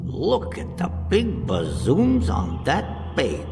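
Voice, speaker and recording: An actor playing a pompous, roguish tomb raider with wry, theatrical delivery in a comic radio play. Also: male voice, on the high side, wildly swooping pitch, slow pace, vocal fry, exaggerated voice. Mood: scheming, wry. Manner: grandiloquent, alliterative, theatrical. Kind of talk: comic science-fiction radio play acting. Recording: long reverb, music behind.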